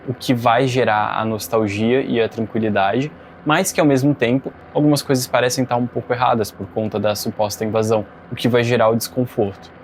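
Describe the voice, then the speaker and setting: A man's voice: mid-range voice, a little mellow. A Brazilian man hosting and narrating an informative scripted video essay. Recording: faint background noise.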